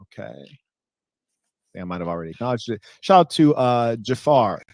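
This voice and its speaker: A man hosting a podcast, reading out donor shout-outs in a businesslike way with hesitant fillers. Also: mid-range voice, sing-song, normal speed, gravelly, no echo, clean studio sound. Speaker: podcast host. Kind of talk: reading donor messages aloud.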